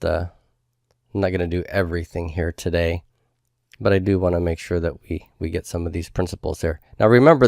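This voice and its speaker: A man's voice: deep voice, gravelly, dry recording, studio quality. A man teaching a homework review lesson, explaining step by step in a patient manner.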